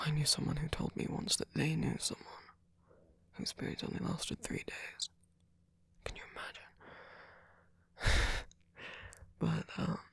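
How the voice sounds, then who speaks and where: A man playing a sweet, gentle vampire boyfriend in an ASMR comfort roleplay, speaking haltingly in a breathy, husky whisper. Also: fairly high pitch, dry recording, exaggerated voice.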